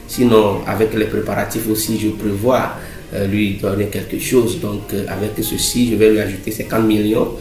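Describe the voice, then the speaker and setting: Low, flat-voiced boastful billionaire groom played by a man. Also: close to an everyday voice. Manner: hesitant, halting boasting full of pauses. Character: boastful billionaire groom, pompous and self-satisfied. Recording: roomy echo, music underneath.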